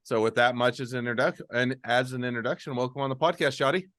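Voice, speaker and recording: A man's voice: medium pitch, steady tone, fast talker, a bit bright, no echo, clean studio sound. A man hosting a podcast interview, asking long rambling questions and often restarting them. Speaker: podcast host. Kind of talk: casual interview.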